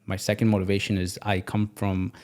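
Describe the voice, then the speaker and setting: A young man from Pakistan speaks as a podcast guest in a somewhat low voice. He talks with energy and emphasis, repeating key phrases as he drives his points home. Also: flat delivery, a little fast, darkish tone. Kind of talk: casual interview talk.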